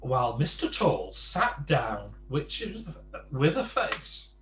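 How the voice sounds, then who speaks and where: A man tells a children's bedtime story slowly, with a very animated rise and fall and chatty asides. The sound is dry, with a faint hum behind it. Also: medium pitch, roomy sound.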